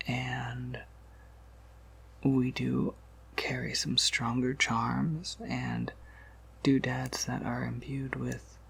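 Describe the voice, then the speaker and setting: A man playing an ancient, courteous vampire apothecary keeper in an ASMR roleplay, in a breathy, gravelly whisper with a hesitant, unhurried manner. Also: mid-range voice, exaggerated voice. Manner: hesitant, unhurried, softly chuckling asides.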